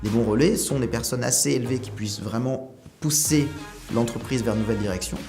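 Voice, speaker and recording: A man's voice: mid-range voice, fairly quick, over music. A man explaining his opinion in an interview.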